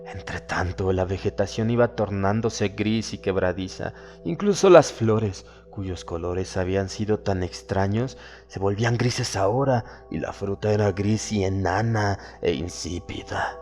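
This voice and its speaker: A man narrating a horror audiobook in a solemn, measured, dramatic storytelling manner. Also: lowish voice, a little mellow. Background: background music.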